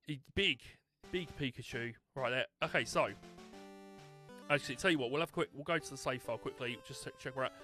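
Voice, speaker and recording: A young British man chatting his way through a live game stream, animated and full of restarts and filler words. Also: medium pitch, fairly quick, faint music behind. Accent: British English. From United Kingdom. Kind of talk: live gameplay commentary.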